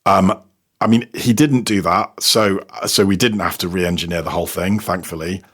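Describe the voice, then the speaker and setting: Guy with a low husky voice, casual interview chat, repeats himself as he explains stuff. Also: steady tone, moderate pace, a bit bright. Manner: hesitant, repeats words while explaining. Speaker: guest, sound designer and technical producer. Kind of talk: casual interview talk about audio production.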